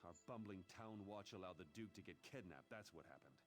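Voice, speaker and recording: An actor playing a harried, snide court official in a fantasy game, speaking in formal, wordy, exasperated phrases. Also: male voice, sits low, lively intonation, brisk. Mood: exasperated, urgent. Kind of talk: fantasy video game dialogue.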